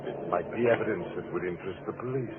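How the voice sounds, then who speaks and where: An actor playing a coldly vengeful husband posing as a ghost in a 1940s radio mystery, measured, sardonic and taunting. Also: male voice, low-pitched, lightly acted. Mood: eerie, ominous. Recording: noisy narrowband vintage radio recording.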